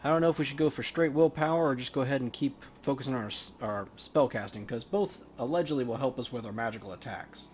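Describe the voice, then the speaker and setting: A man's voice: medium pitch, fast talker, hardly any pauses, darkish tone, a little hiss or hum. A man hosting a let's play, giving casual, laid-back gaming commentary as he thinks aloud.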